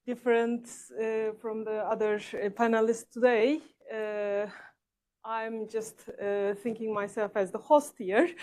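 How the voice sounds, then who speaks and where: A woman from Turkey introducing herself on a panel at an event, in a friendly manner with frequent filler pauses. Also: a little high, darkish tone. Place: event stage in a large hall.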